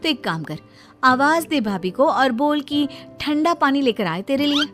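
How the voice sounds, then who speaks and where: A woman's voice: high voice, expressive, moderate pace, fairly bright tone, faint music behind. A woman voicing a teasing, outspoken young adult daughter in a cartoon. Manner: teasing, mocking.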